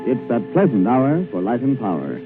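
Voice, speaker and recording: A man's voice: mid-range voice, big pitch swings, normal speed. A man announcing a scripted 1940s radio show, on a tinny old broadcast recording with music behind him. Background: orchestra music.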